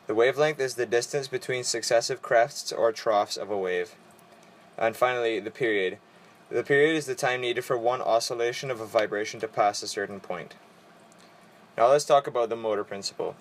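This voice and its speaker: A teenage boy reading vocabulary and equations aloud for a school presentation, in a steady, orderly way. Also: mid-range voice. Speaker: student presenter.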